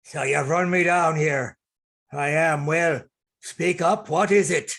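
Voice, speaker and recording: A man playing a gruff, wary, hard-drinking old sea captain in a radio play. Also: fairly high pitch, steady tone, slow, a bit bright, heavily acted.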